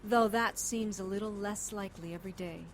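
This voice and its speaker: An actress playing a determined, weary detective's partner, sober and matter-of-fact in audio drama narration and dialogue. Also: female voice, medium pitch, steady tone, brisk, a little hiss or hum, lightly acted. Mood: resigned. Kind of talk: scripted first-person audio drama narration.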